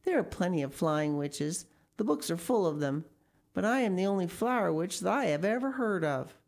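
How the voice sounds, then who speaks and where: A woman's voice: fairly low pitch, animated, normal speed, darkish tone. A woman voicing a wrinkled old woman witch, a proud and joyous gardener, in an exclamatory storybook reading. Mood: pleased.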